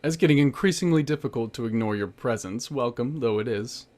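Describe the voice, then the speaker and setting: An actor playing a wary middle-aged doctor and war veteran in a 1920s radio drama, clipped, cool and guarded. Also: male voice, mid-range voice, brisk, a little mellow, lightly acted.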